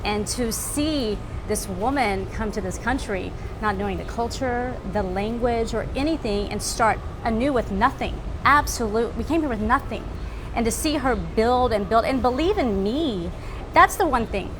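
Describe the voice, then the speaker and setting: A woman born in Vietnam, a news anchor, sharing personal stories in an emphatic, heartfelt, deliberate way. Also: on the high side. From born in Vietnam, left when very little. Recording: clear background noise.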